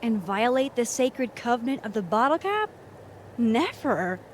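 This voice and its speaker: A woman's voice: fairly high pitch, big pitch swings, a little slow, a little hiss or hum. A woman playing a wry, playful young woman in a naturalistic video game, casual and teasing. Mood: mock indignant.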